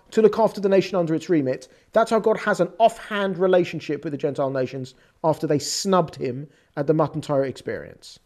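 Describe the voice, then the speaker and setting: A woman with an English accent giving a lively, conversational religious lecture, acting out quoted lines as she explains. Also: lowish voice. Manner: animated, conversational teaching with quoted dialogue. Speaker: Torah teacher.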